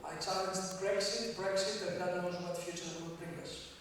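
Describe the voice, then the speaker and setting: Greek-accented man asking the panel a question, hesitant, high-pitched, picked up from far off. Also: fairly even intonation, normal speed, fairly bright tone, a little hiss or hum. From Greece. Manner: hesitant, with fillers. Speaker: audience member asking a question. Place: large conference hall. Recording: echoey hall from far off.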